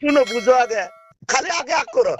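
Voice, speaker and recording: A man's voice: very high voice, lively intonation, quick pace, background noise. A man, a driver on a phone call, protesting and interrupting to be heard, with music behind. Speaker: driver receiving a prank call. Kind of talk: agitated phone argument.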